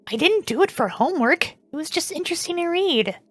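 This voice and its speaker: A woman's voice: very high-pitched, expressive, brisk, no echo. A woman playing a curious young female student in a fandub, speaking in a casual, inquisitive way. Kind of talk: fandub character acting.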